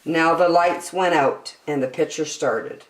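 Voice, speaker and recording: A woman reading a children's picture book aloud in an expressive, dramatic storytelling style, in an echoey room. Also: deep voice. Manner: dramatic, with emphatic slowed phrases.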